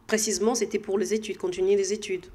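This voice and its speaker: A woman with a Congolese accent and a mid-pitched voice gives conversational answers as an interview guest. She feels her way through sentences, often pausing to find the next word. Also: flat delivery, darkish tone, husky. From Democratic Republic of the Congo.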